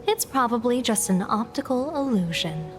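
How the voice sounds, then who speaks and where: A woman playing a composed female general and diviner in a video game, polite and measured with dry sarcasm. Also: on the high side, lively intonation, music in the background, close to an everyday voice. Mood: sarcastic.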